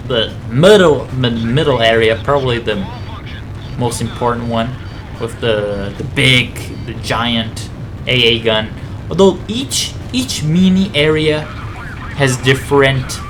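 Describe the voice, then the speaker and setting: A man's voice: mid-range voice, background noise. A man giving casual, rambling video game commentary, thinking aloud in a conversational way.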